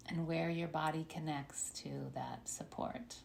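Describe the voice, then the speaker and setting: A woman leading a guided meditation in a soothing, unhurried manner with long pauses. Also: deep voice, fairly even intonation, vocal fry. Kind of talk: guided meditation, body scan. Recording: faint background noise.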